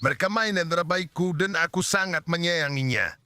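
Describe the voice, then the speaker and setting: A man voicing a kindly, playful, nostalgic grown-up in a children's cartoon dub, with an expressive, theatrical delivery. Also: fairly high pitch, normal speed, clean tone, no room sound.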